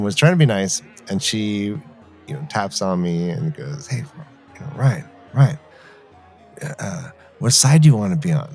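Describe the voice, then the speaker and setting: A man's voice: on the low side, warm tone. A man co-hosting a podcast, chatting casually and debating in an emphatic, playfully argumentative way. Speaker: podcast co-host. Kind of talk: animated fan debate, casual chat.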